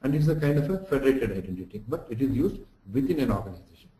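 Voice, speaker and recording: Mellow-voiced male lecturer, echoey room. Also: mid-range voice, lively intonation, fast talker. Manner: didactic, explains with examples and recaps. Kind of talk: slide lecture for an online lesson.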